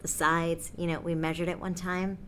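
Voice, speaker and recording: Odd female apartment dweller played by an actress, American, lower register, blunt, offhand and sweary, naturalistic audio drama. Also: little pitch movement, moderate pace, fairly bright tone, slight background noise, lightly acted. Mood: eager. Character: odd, blunt female apartment tenant, obsessed with a mysterious hole.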